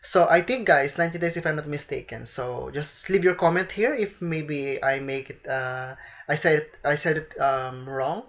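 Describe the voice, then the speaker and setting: A woman's voice: sits low, normal speed. A young woman with a Filipino accent, a native Tagalog speaker, chatting casually to camera as a vlogger while she has a cold, often calling her viewers "guys". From Philippines. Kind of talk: casual advice vlog to camera.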